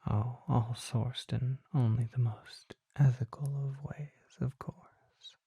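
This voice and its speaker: A performer voicing a courteous, mysterious vampire merchant in an ASMR roleplay, speaking in a breathy whisper with formal, polite phrasing. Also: mid-range voice, fairly even intonation, mellow, no echo, exaggerated voice. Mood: gentle, courteous. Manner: formal, polite, unhurried, old-fashioned phrasing.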